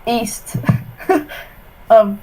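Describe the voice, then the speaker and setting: A woman from Sweden living abroad, talking on a video call in a relaxed, easygoing way. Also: fairly high pitch, extremely slow, a little hiss or hum, rough recording. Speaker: interviewee.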